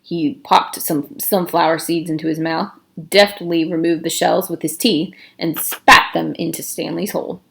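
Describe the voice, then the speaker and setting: A woman narrating a children's novel aloud in an expressive storytelling style. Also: lowish voice, moderate pace, gravelly.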